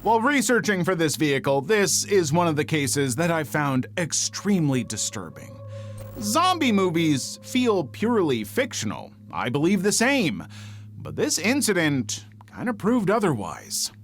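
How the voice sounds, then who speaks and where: A man reading scripted true-crime documentary narration in a dramatic, measured storytelling manner. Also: fairly high pitch, huge pitch swings. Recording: faint music bed under narration. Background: background music.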